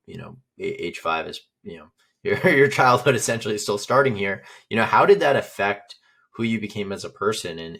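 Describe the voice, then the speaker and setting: A man hosting a podcast, asking questions in a halting way with many "you know" fillers. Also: sits low, vocal fry, fairly bright tone. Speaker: podcast host. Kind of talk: interview questions.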